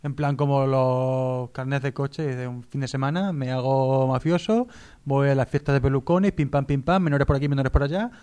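Young man bantering on radio, mid-pitched. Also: moderate pace, a little mellow, smooth. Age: young adult. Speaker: radio show co-host. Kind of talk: joking casual banter.

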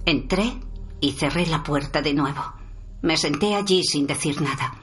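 Woman playing sad wounded survivor, radio drama, low slow voice, echoey with soft music. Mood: sorrowful, bitter. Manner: slow, solemn, deliberate. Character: wounded, sombre woman, survivor of violent abuse. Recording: roomy echo under music.

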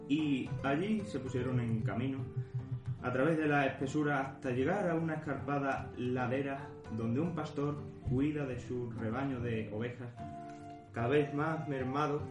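A droll, mock-solemn man acting as narrator of a comic fantasy radio play. His mid-pitched voice sits in a roomy echo with music clearly behind it. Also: natural voice. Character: tongue-in-cheek male narrator of a comic fantasy adventure. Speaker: narrator of an audio drama. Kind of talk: scripted storybook-like fantasy narration.

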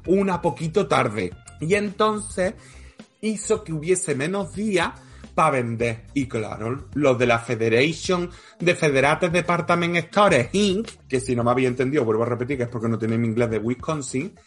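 A man's voice: fairly high pitch, expressive, moderate pace, husky, soft background music. A man from co-hosting a casual podcast, telling trivia in a playful, jokey way and laughing often. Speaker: podcast co-host. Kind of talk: casual chat, storytelling trivia.

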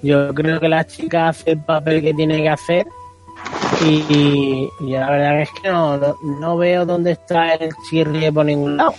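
A man's voice: fairly high pitch, little pitch movement, a little slow, poor audio. A man with an accent from Spain, a podcast panelist chatting in an emphatic, colloquial way and repeating himself. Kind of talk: casual chat. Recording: faint music behind the voice.

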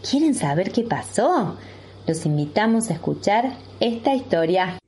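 Lively Argentine woman storyteller over noise. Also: medium pitch, a little slow. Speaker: kindergarten teacher. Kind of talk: greeting and storytelling for young children. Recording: clear background noise.

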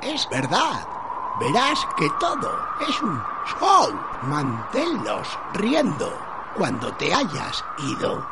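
Someone doing a manic upbeat doomed guy mocking death, super drawn out, gravelly creak, radio play style. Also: mid-range voice, wildly swooping pitch, slow pace, heavy background noise, exaggerated voice. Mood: sardonic cheer. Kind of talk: theatrical radio parody of film song.

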